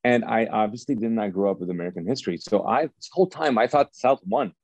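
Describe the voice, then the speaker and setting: A man who was born in Kuwait and whose first language is Urdu, telling his life story as a podcast guest in a lively way and acting out past conversations. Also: fairly low pitch, fast talker, vocal fry, no room sound. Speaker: guest pastor.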